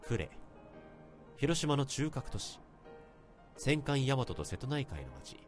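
A man's voice: medium pitch, very animated, slow pace, soft background music. An actor playing an earnest salaryman in his thirties, telling his story politely and reflectively in a Japanese radio drama, in a literary style. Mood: reminiscing. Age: 30s. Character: earnest 32-year-old salaryman confessing a bittersweet youthful love.

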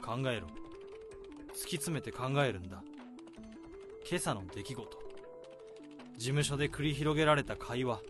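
A man's voice: mid-range voice, animated, a little slow, long pauses, music in the background, lightly acted. A man playing a jittery overworked office drudge. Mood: tense inner. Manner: flustered in talk, tense first-person inner monologue. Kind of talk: radio drama acting with narration.

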